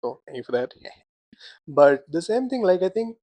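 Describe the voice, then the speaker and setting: A young man co-hosting a casual podcast chat, animated, laughing often and filling his talk with "like" and "right?". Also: a little high, measured pace, no echo. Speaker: podcast co-host.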